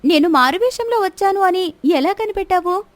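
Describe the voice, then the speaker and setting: Woman voicing a high-pitched, bold young princess. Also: animated, normal speed, dry recording. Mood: teasing, testing. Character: bold young princess disguised as a palace servant.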